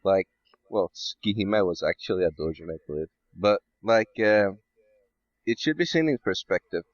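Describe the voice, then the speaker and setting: A man's voice: low voice, expressive, normal speed, muffled recording. A man from Sweden chatting casually as a podcast guest. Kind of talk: casual panel chat.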